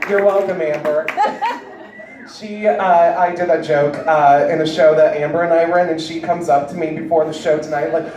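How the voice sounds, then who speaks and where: A woman with a deep voice doing a stand-up comedy set with a wry, deadpan delivery, heard on stage before a live audience. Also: moderate pace, background noise. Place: large indoor venue with live audience. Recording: echoey hall recorded from far off, loud crowd. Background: audience cheering and laughing.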